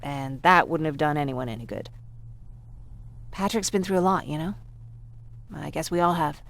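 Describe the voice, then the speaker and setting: An actress playing a shaken, sincere young woman who survived a war, confiding haltingly in video game drama dialogue. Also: fairly low pitch, vocal fry.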